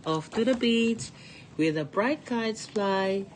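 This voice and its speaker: A woman's voice: medium pitch, expressive, slow pace, a little hiss or hum. A woman reading a picture book aloud to children in a sing-song storybook cadence. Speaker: storytime reader.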